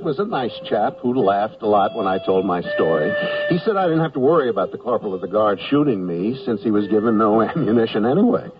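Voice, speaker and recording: Man acting a mild draftee, lively intonation. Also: lowish voice, lightly acted. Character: mild, hapless army draftee telling his own story. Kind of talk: first-person radio narration and comedy acting. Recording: noisy, muffled, distant vintage radio recording.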